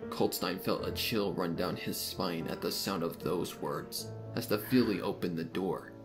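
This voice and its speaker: A man reading scripted third-person fan fiction as the narrator of a dark mystery story. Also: low voice, a little slow, music in the background.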